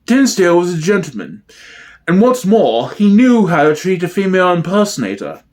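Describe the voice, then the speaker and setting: Sketch role: male performer as genteel drag artiste, precise and pompous, highish voice with lively swoops. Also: moderate pace. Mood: grave, proper. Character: genteel, pompous female impersonator, gangster's admiring companion. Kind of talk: comedy sketch dramatic reading.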